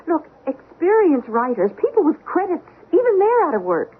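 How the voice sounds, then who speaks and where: A nagging, disillusioned woman in an old radio drama. Her high voice swings emphatically and cuts sharply, heard through a tinny, phone-like recording. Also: huge pitch swings, moderate pace, heavily acted. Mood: exasperated, bitter. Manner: emphatic, cutting. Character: exasperated, nagging, disillusioned wife or girlfriend.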